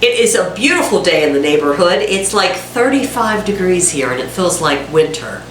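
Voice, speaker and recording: Home cook host with a cold, woman, stuffy nasal tone, chatty and self-mocking, echoey kitchen, mic set back, loud sizzling pan. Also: on the low side, lively intonation, moderate pace, distant mic, a little hiss or hum. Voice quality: congested and nasal. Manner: chatty, self-deprecating asides to viewers. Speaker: cooking channel host. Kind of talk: casual home cooking demonstration. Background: frying sizzle and a dishwasher running.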